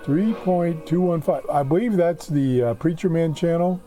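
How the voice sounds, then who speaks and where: A man hosting a casual hobby radio show from his workshop, talking off the cuff in a halting, matter-of-fact way with pauses mid-sentence. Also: a little high, warm tone, soft background music. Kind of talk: casual unscripted radio demonstration. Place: home workshop.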